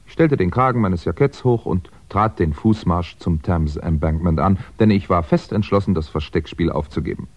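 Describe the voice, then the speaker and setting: A man's voice: low-pitched, darkish tone. An actor playing a wry, eloquent crime writer on the run who tells his own story in a 1960s radio drama. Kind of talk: first-person narration and dialogue in 1960s German radio crime drama. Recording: dull, roomy 1960s radio recording.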